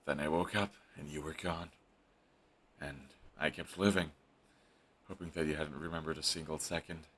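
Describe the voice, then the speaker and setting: A man's voice: a bass voice, steady tone, moderate pace, a little hiss or hum. A man voicing a brooding villain who is defensive and lovestruck, talking close and intimately to the listener. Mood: hushed, tender. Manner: intimate, shifts between hushed and heated. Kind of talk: audio roleplay monologue.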